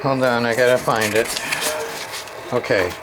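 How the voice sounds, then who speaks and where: A man's voice: mid-range voice, steady tone, measured pace. A man giving an informal hands-on repair walkthrough in a rambling way, muttering to himself as he goes. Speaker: radio repair hobbyist. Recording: clear background noise, amateur workbench video.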